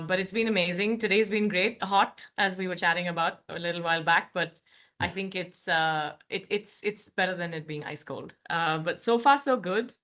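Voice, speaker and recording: A woman's voice: fairly low pitch, fairly bright tone. A woman in her thirties with an Indian accent, a guest speaking articulately in a podcast interview with frequent fillers. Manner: articulate, many fillers and restarts. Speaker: guest, managing director. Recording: slightly dull lo-fi sound.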